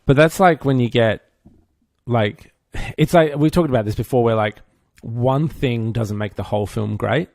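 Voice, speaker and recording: A man's voice: medium pitch, normal speed, a little mellow, no echo, studio quality. A man co-hosting a podcast, chatting informally in an opinionated, geeky, self-mocking way. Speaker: podcast co-host. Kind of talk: casual pop-culture chat.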